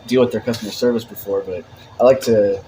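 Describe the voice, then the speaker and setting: A man's voice: lowish voice, fairly even intonation, quick pace, mellow, slight background noise, poor audio. A man in casual chat, talking in a rambling way with lots of "like" and restarts.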